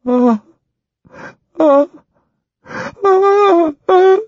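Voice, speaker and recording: A man voicing a terrified young woman under hypnosis, speaking in high, breathy, trembling whispers. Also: extremely slow, warm tone, no echo, lo-fi sound, full character voice. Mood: wailing.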